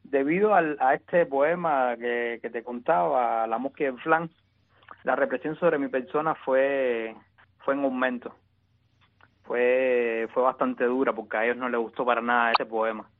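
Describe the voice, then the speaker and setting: Cuban man, exiled poet guest, halting delivery full of restarts, tinny phone-line sound. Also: medium pitch, steady tone. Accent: Cuban Spanish. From Cuba. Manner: halting, many restarts and repeated words. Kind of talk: interview answers.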